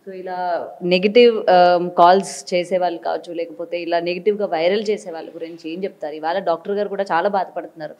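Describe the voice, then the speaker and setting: A woman's voice: on the low side, fairly even intonation, fairly quick, a little mellow, lo-fi sound. A female TV reporter interviewing by phone, asking probing questions.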